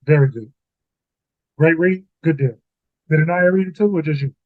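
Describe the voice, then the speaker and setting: Higher-pitched man, tinny dry mic. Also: normal speed, no echo. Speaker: host of a Bible read-along. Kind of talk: casual conversational discussion. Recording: thin, phone-like home stream mic.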